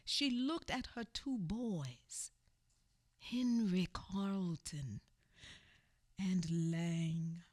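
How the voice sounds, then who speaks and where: A woman narrating her grandmother's story in a radio theatre play, measured and solemn. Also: lowish voice, a little mellow, no room sound, studio quality.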